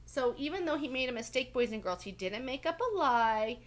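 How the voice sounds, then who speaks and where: A woman's voice: sits high, animated, a little fast. A woman reading a children's story aloud as a teacher, expressively, with simple explanatory asides to young listeners.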